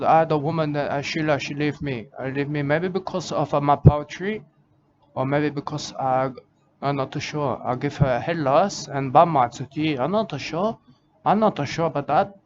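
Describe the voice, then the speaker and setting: A man's voice: fairly high pitch, close to an everyday voice. A man voicing a boastful, rambling Australian wildlife-loving bloke with an Australian accent, in broken English, saying "mate" constantly. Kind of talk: comedy character monologue. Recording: muffled lo-fi with steady background noise.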